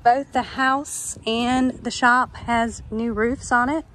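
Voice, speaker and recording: A woman's voice: fairly high pitch, steady tone, slow, fairly bright tone, slight background noise. A woman working as a real estate agent, narrating an informal walk-through of a property. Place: walking through a house and its yard.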